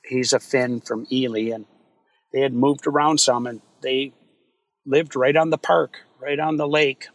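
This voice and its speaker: A man from Minnesota, a guest in a podcast interview, talking in a relaxed, rambling way with many fillers. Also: mid-range voice, measured pace, no room sound. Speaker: guest, wildfire fighter. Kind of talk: casual interview chat.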